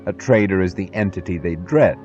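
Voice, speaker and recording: Deep-voiced man delivering a solemn speech. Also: fairly quick, a little mellow, muffled recording. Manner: solemn, emphatic, oratorical. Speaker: narrator. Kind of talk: dramatic scripted philosophical speech narration. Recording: faint music behind. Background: music.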